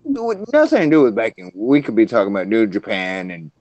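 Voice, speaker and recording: A man's voice: lowish voice, very animated, a little fast, a little mellow, no echo, dull audio. A man co-hosting a casual wrestling podcast who is losing his voice and talks with many hesitant fillers. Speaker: podcast co-host.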